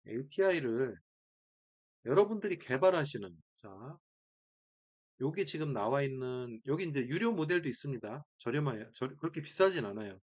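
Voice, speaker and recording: A man's voice: medium pitch, lively intonation, moderate pace. A man presenting an informal screen-share tutorial, thinking aloud in short fragments and repeating key words, recorded with dull sound. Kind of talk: informal screen-share demo, talking through steps.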